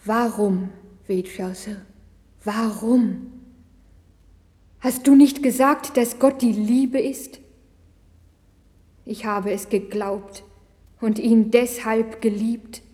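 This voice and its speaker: A woman's voice: a little high, takes it slowly, pauses often, dark tone. An actress in a religious audio drama playing an anguished, pleading young Chinese woman with leprosy who is losing her faith. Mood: anguished, reproachful. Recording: long hall reverb, vintage vinyl record.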